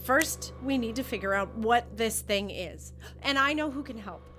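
A practical, sharp-tongued young woman. She speaks with a high, bright voice and dry scepticism. Music plays faintly behind her in this audio drama. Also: expressive, moderate pace. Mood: sceptical.

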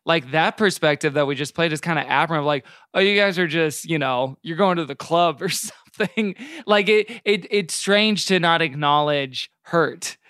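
A man's voice: fairly high pitch, normal speed, few pauses, pushed and bright, smooth, no echo, clean studio sound. A man co-hosting a podcast in casual discussion, wry and often qualifying his points as he goes. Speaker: podcast co-host.

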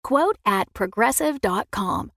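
A woman's voice: mid-range voice, expressive, takes it slowly, vocal fry, fairly bright tone, no room sound, clean studio sound. A woman reading a scripted advert and its disclaimer. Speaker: advert voice-over.

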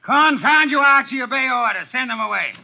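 An actor playing an imperious, gluttonous, eccentric detective in a 1950s radio drama, with pompous, curt, formal diction. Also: male voice, very high-pitched, lively intonation, brisk, vocal fry, full character voice. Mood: exasperated, commanding. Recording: muffled vintage 1950s radio recording.

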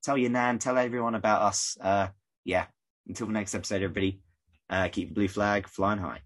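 A man from the UK hosting a casual podcast, rambling and conversational, his voice croaky. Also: lowish voice, steady tone, brisk. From United Kingdom. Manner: rambling, conversational, many fillers. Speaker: podcast host.

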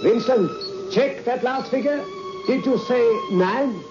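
An actor playing an authoritative space mission controller in an old-time radio drama, with a formal, commanding and declamatory manner. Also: male voice, very high voice, takes it slowly, music in the background. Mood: intent. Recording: dull, noisy old radio recording.

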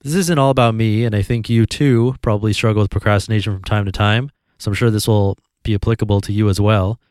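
A man hosting a podcast, calmly reading a blog post aloud in an even, easygoing way. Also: lowish voice, fast, dry recording, studio quality. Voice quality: calm, even voice.

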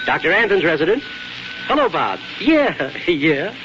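A man's voice: on the high side, sing-song, unhurried, over music. A man playing a proud, grumbling older small-town doctor and father, blustering and repeating himself in 1940s radio acting. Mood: excited, proud. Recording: muffled, noisy 1940s radio recording.

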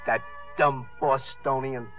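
An actor playing a plain-spoken, hesitant carnival sidekick with a folksy, halting manner in a 1950s radio drama. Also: male voice, medium pitch, fairly even intonation, soft background music, close to an everyday voice. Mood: sympathetic, puzzled. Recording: muffled, phone-like 1950s radio broadcast.

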